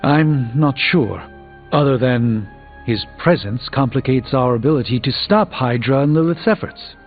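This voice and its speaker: An actor playing a learned sorcerer mentor in a video game, speaking in a grave, measured, formal way. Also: male voice, medium pitch, lively intonation, lightly acted. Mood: measured, concerned. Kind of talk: video game character dialogue. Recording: dull band-limited game audio, music behind.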